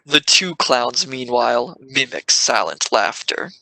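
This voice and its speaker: A man's voice: mid-range voice, expressive, measured pace, creaky, pushed and bright, rough recording. A man reading scripted scene narration for an audio drama.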